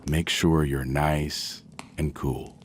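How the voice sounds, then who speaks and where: A man gently narrating a guided sleep meditation in a soothing, measured way with long pauses. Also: a bass voice, level tone, a little hiss or hum.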